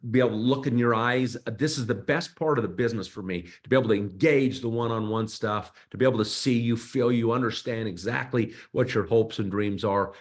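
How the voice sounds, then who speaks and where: A company executive rallying distributors in an online meeting, speaking fervently, repeating himself and pleading with listeners to show up. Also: male voice, on the low side. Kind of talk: motivational company call speech.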